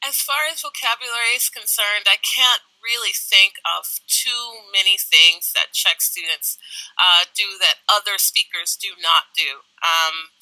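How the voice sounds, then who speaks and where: A woman with a very bright voice answers thoughtfully and tries out examples as questions. The recording is dry, with no room sound. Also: medium pitch, measured pace, dry recording, poor audio. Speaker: guest English teacher. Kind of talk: casual interview answers.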